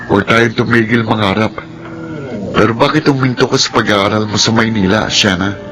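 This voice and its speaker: A man's voice: fairly low pitch, brisk, lightly acted, low-quality recording. An actor playing a caring young country man and friend in a Filipino radio drama, friendly and inquiring. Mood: caring, curious. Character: Donnie, caring young rural male friend. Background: music.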